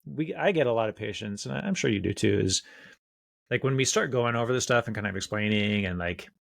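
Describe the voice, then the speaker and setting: A man's voice: lowish voice, lively intonation, a little fast, vocal fry, no room sound, studio quality. A man co-hosting a health show as a doctor, chatting casually and informally about advice for listeners.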